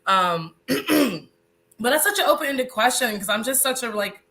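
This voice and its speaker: A woman's voice: fairly high pitch, animated, measured pace, bright, forceful tone. A woman in her thirties from Mississippi, a nurse, chatting as a guest on a live interview. She is congested and a bit nasal, and she laughs often. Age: 30s. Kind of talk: casual interview.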